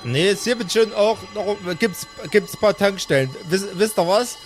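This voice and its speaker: An impatient, sarcastic woman, played by a man in a high-pitched voice. The character bargains with exasperation over faint music in an improvised audio comedy. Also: expressive, normal speed. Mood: insistent. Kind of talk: improvised audio drama acting.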